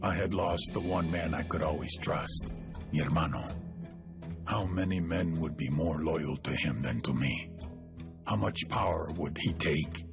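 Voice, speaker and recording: A man playing a cold, calculating cartel boss, delivering a measured, menacing game monologue in a gravelly voice. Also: rumbling low, loud music behind the voice, exaggerated voice. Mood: brooding, menacing.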